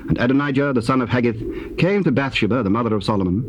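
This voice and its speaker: A man narrating dramatized scripture in a formal, solemn and measured style. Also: medium pitch. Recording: noisy, hissy old cassette tape.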